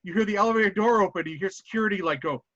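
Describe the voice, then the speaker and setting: A man's voice: high-pitched, very fast, fairly bright tone, no echo, muffled recording. A man running a tabletop roleplaying game as game master, narrating animatedly and laughing often.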